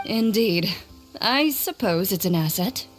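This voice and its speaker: A woman plays a composed young companion in a video game, dry and matter-of-fact. Her voice sits at a middle pitch with expressive turns, over prominent background music. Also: unhurried, close to an everyday voice. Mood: calm, dry. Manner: reserved, dry, clipped phrases.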